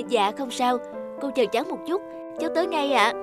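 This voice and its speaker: A friendly young office woman in a cartoon. Her voice is fairly high and her manner polite and helpful. Music is loud behind her. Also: animated, normal speed. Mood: friendly, earnest. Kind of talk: cartoon voice acting.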